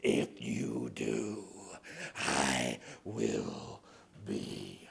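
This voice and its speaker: A man's voice: huge pitch swings, gravelly, breathy edge, full character voice. A man growling as a menacing snow spirit woman. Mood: threatening. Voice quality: deep growling whisper. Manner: slow threatening drawl.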